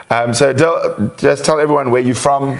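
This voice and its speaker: A man hosting a live wildlife show, reading out viewer questions in a relaxed, chatty way with lots of fillers and laughs. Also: mid-range voice, vocal fry.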